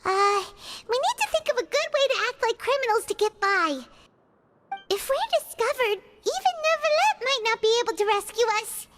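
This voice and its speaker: A woman voicing a tiny, excitable floating companion girl who talks about herself in the third person, in video game dialogue. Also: extremely high pitch, normal speed, heavy background noise. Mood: curious.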